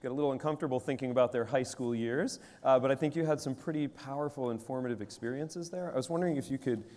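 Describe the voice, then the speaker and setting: A man interviews a guest on stage, posing his questions politely and with measured pauses. His pitch is in a middling range for a man. The long reverb of a large hall surrounds his voice. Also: darkish tone. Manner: polite and measured, with some hesitations. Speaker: interviewer and moderator.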